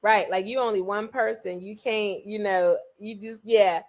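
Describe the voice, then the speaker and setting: Emphatic woman co-host, casual podcast chat, repeats key phrases, mid-pitched voice. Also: fairly even intonation, measured pace.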